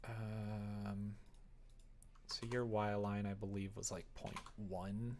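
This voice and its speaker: Creaky-voiced livestreamer, casually thinking aloud. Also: deep voice, flat delivery, takes it slowly, a little mellow, a little hiss or hum. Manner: rambling, muttering to self, self-deprecating. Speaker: livestreamer making a game. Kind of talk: casual livestream chat, thinking aloud while coding and replying to viewers.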